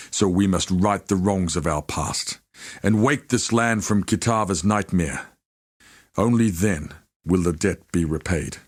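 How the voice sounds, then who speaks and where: A low-voiced, proud warrior of a tribe for a video game. He is solemn and devout, bound by honour. Every phrase is delivered gravely and deliberately, like formal oratory. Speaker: video game character voice actor. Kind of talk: scripted dramatic game dialogue.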